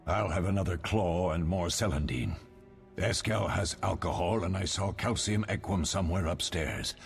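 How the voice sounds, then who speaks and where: An actor playing a wise, fatherly older mentor of monster hunters in a video game, measured and instructive. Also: deep voice, creaky, soft background music. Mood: reflective.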